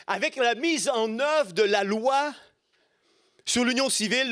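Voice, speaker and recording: Middle aged quebec french guy preaching, really high voice for a man, bright forceful, emphatic sermon style. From Quebec, Canada. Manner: emphatic, rhetorical, repeats key phrases. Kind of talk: impassioned church sermon.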